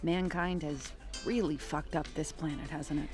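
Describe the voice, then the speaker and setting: Low-voiced, guarded woman detective played by an actress. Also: fairly even intonation, moderate pace, over music, lightly acted. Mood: wry. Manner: terse, understated. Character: guarded, dry female police detective. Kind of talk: audio drama acting.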